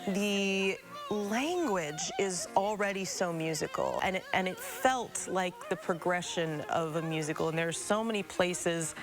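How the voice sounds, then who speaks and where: Lowish-voiced analytical woman over music. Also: unhurried. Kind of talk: thoughtful interview commentary.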